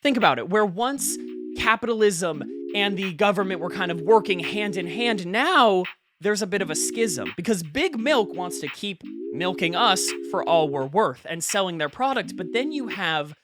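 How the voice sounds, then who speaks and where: An earnest conspiracy podcast host played by an actor speaks in a mid-range voice with a crackly fry. The delivery is wry and conversational, treating absurd theories with mock seriousness. Intonation moves widely, leaning hard on key words. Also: medium pitch, expressive, moderate pace, crowd noise, close to an everyday voice. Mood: urgent, conspiratorial. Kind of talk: podcast monologue, conspiratorial rant.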